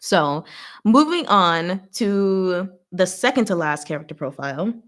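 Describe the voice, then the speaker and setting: A woman hosting a casual live recap, talking in an expressive, playful, giggly way with emphatic asides. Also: fairly low pitch, unhurried. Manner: giggly, expressive, laughs often while talking. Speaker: host of a live recap and discussion. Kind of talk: casual livestream chat recapping a story.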